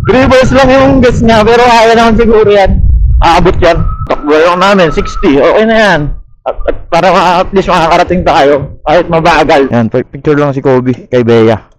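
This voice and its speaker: A man's voice: high voice, moderate pace, rough recording. A Filipino-accented man chatting casually as a motorcycle vlogger during a ride. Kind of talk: casual riding chat.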